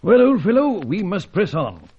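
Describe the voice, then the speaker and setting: An actor playing a genteel, avuncular British spymaster in an old-time radio serial, plummy and husky, hemming politely as he speaks. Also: sits high, very animated, normal speed, a little mellow, exaggerated voice. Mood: urgent. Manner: plummy, hesitant hemming, old-chap gentility. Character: genteel British male spymaster, avuncular, stiff-upper-lip knight. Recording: dull vintage radio recording.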